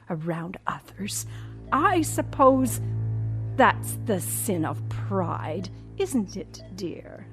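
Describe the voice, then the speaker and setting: An actress playing a genteel, condescending old woman recovering from a stroke in an audio drama, with breathy, halting, deliberate speech. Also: fairly low pitch.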